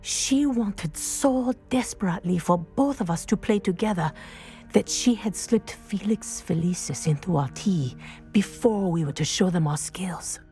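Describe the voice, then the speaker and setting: A dignified middle-aged female professor played by a woman in a video game, with a fairly low voice and music behind her. She speaks formally and precisely, in measured phrases, like a principled teacher who holds herself to strict standards. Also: warm tone, natural voice. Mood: solemn regret. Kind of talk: scripted game dialogue, storytelling.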